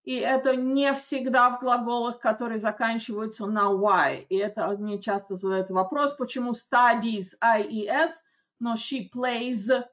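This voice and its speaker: A woman teaching an English grammar lesson, explaining rules in a chatty way with frequent checks and slow, careful example sentences. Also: on the high side, fairly even intonation, fairly bright tone. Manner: reads English examples slowly and distinctly. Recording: dull lo-fi audio.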